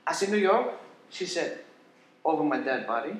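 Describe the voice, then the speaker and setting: A man's voice: a little high, animated, normal speed, a little hiss or hum. A man from Indonesia, a podcast guest, telling his story in a blunt, joking way and swearing freely. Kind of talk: casual storytelling. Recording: echoey room.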